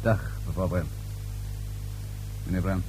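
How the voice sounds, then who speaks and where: An actor in a radio play playing a calm, sly police inspector with an understated, knowing manner. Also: male voice, sits low, slow pace, faint music behind, poor audio. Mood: businesslike. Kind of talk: radio drama acting.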